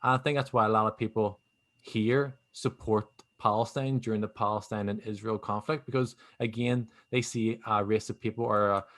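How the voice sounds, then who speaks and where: A man's voice: lowish voice, fairly even intonation, moderate pace, a bit bright. A young man with a Northern Irish accent, explaining things in a rambling, casual way and leaning on fillers like "obviously" and "kinda". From Northern Ireland. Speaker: guest. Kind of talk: casual conversation.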